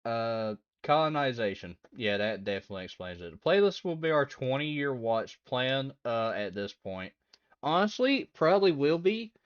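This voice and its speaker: A man's voice: mid-range voice, big pitch swings, normal speed, clear, resonant tone. Rambling young man streaming, lo-fi sound. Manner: rambling, laughs easily, reads out chat messages. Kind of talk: casual livestream chat with viewers. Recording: dull lo-fi audio with faint background music.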